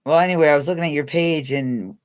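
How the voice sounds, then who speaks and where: A woman's voice: deep voice, fast, darkish tone. A middle-aged American woman hosting a casual live chat, rambling, laughing often and calling out to her viewers by name. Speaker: livestream host.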